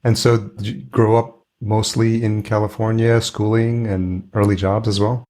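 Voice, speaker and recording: A man's voice: lowish voice, little pitch movement, normal speed, mellow. A man hosting a podcast, asking questions in an informal, attentive conversational way. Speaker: podcast host. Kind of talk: casual interview questions.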